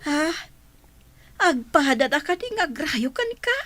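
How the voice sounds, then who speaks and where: A woman acting a caring, nosy mother figure in a radio drama, inquisitive and expressive. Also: high voice, unhurried, dry recording, exaggerated voice. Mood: curious.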